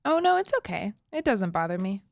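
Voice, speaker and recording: A woman's voice: medium pitch, very animated, fairly quick, gravelly, no echo. Actress as uneasy young woman, wistful, tentative, thoughtful, natural drama dialogue, dry close sound. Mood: gentle, casual.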